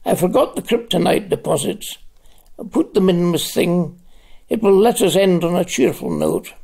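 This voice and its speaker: A man's voice: high voice, big pitch swings, vocal fry, a little mellow, breathy edge, full character voice. An old man voicing a sly scheming chairman. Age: older. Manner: slow, theatrical read-aloud delivery. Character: scheming smooth older male chairman. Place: at home.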